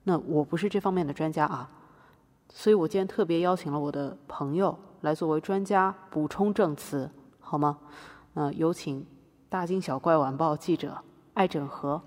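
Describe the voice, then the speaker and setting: Casting: woman playing an earnest activist artist on trial, low husky voice, argumentative delivery, podcast drama in a reverberant hall. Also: normal speed, darkish tone. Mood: firm, impatient. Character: defiant, guilt-ridden activist artist defending herself in court. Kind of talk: scripted podcast courtroom drama monologue.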